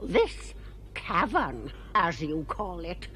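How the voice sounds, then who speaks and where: Cackling, theatrical old android villainess. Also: female voice, fairly low pitch, big pitch swings, slow, bright, forceful tone, slight background noise, exaggerated voice. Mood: gloating, menacing. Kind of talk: puppet sci-fi series villain acting. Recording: music behind the dialogue.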